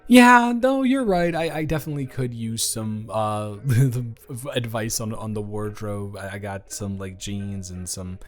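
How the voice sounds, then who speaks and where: A man's voice: lowish voice, measured pace, hardly any pauses, natural voice. Man acting a shy, rambling college dormmate, music behind. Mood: awkward, bashful. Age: young adult. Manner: hesitant, rambling, stammering. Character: shy, eager, adorably awkward male college dormmate. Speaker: audio roleplay voice actor. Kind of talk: intimate romantic audio roleplay, conversational.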